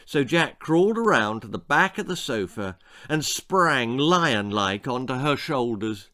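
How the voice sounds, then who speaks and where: A male author narrates a children's tale with theatrical flair. His pitch swings widely, and he takes his time over each phrase. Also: animated, unhurried, pushed and bright. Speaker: author reading his own story. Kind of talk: expressive children's storytelling, scripted narration.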